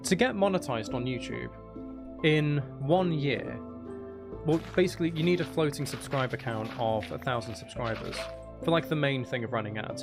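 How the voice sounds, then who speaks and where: A soft-spoken man chatting casually on a livestream as an ASMR creator, explaining things in a candid, aggrieved way. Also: mid-range voice. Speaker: ASMR content creator and streamer. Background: music in the background.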